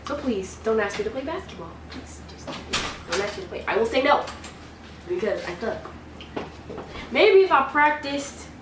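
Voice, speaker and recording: Casting: female, late teens, fairly high voice, emphatic casual chat, recorded away from the mic with clear noise. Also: big pitch swings, moderate pace. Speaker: vlogger. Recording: roomy, noisy, distant mic.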